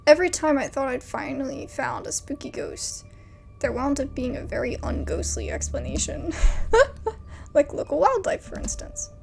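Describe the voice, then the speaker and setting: A woman's voice: high voice, animated, moderate pace, creaky, faint music behind, exaggerated voice. A woman voicing a cheerful young ghost hunter with a teasing, breezy way of talking.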